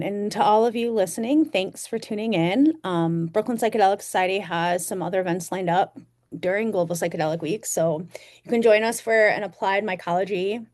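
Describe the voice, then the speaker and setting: A woman's voice: medium pitch, a little mellow. A woman hosting a panel discussion, upbeat and effusive, thanking guests and announcing events. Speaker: host.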